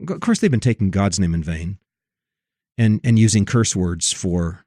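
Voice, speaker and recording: A man's voice: low voice, moderate pace, warm tone, no echo, studio quality. A man hosting a religious commentary on film and culture, speaking in an emphatic, preachy way and repeating phrases for effect. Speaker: host.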